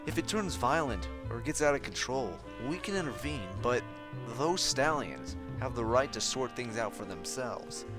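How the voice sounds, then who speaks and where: A man voicing a patient, regal pony princess in a story reading, measured and mildly weary, with music behind. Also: medium pitch, expressive, natural voice.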